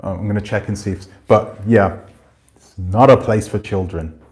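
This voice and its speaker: A man in his forties chatting casually on a livestream, rambling and relaxed, swearing now and then. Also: lowish voice, normal speed. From Jamaican family roots. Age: 40s. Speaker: channel host. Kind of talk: casual livestream chat reading comments.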